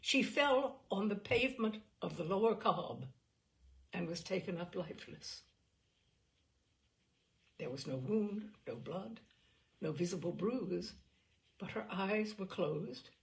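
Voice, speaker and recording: A woman reading a classic novel aloud as an audiobook narrator, with expressive, dramatic and deliberate phrasing. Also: medium pitch, vocal fry.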